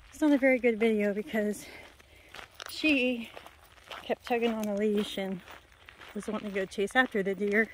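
A woman's voice: on the high side, slight background noise, rough recording. A woman vlogger chatting casually and unscripted to viewers while out walking, a little out of breath, recorded on a handheld phone outdoors. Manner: rambling, unscripted, addressing viewers. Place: outdoors on a rural gravel road.

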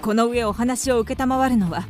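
Lady doing a haughty widow in a radio play, proper and formal, highish voice, faint music. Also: measured pace, natural voice. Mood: indignant. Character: proud, grieving young widow, haughty and indignant. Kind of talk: radio drama acting.

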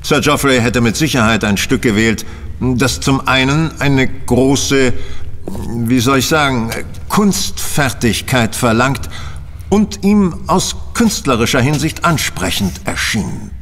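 A self-assured detective in an audio drama. He reasons in precise, courteous sentences at an average male pitch, ringing through a hall. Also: expressive, fairly bright tone, slight background noise. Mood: analytical confidence. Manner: precise, formal, deliberate phrasing. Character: confident, analytical gentleman detective, courteous and commanding. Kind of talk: German audio drama acting. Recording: long hall reverb.

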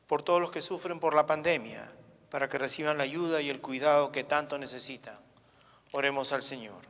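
Bright-voiced man reading prayers in church. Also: fairly high pitch, brisk. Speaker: reader of prayer petitions at Mass. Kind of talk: formal read-aloud prayer. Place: large church, indoors. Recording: reverberant church hall.

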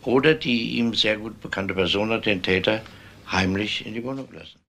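An actor playing a methodical police inspector in a crime drama, questioning in a deliberate, polite manner. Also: male voice, on the low side, creaky, fairly bright tone. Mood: probing. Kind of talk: German TV crime drama acting.

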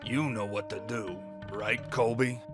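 A man voicing a rough, scheming pirate underling in a manga dub, gruff and conspiratorial. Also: fairly low pitch, steady tone, unhurried, over loud music, natural voice. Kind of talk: anime-style manga dub acting.

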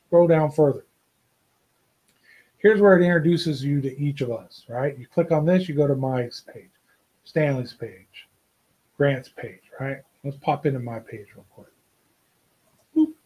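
Brief: man, 50s, easygoing vlogger, voice sits a bit high, keeps tagging "right?" onto lines, small home office. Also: unhurried, long pauses, warm tone, slightly rough, rough recording. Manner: chatty, keeps asking "right?" and "okay?". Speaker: outdoors vlogger. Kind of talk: casual to-camera vlog. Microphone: desk camera, head and shoulders.

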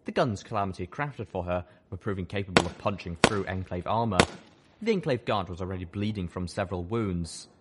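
A man's voice: sits low, animated, fairly quick, background noise. A man reading scripted first-person fiction as an audiobook narrator.

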